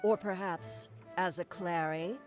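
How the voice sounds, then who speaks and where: A strict female professor played by a woman in a visual novel game. She is formal and measured as she admonishes her students, with soft music underneath. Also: lowish voice, fairly even intonation, close to an everyday voice. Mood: stern, reproving. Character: stern, prim female professor scolding students. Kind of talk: scripted visual novel voice acting. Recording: background music.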